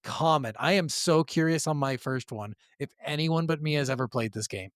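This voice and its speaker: A man's voice: fairly high pitch, moderate pace, fairly bright tone, no echo, studio quality. A man co-hosting a podcast, chatting casually with informal, profane language. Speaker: podcast co-host. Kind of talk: casual chat.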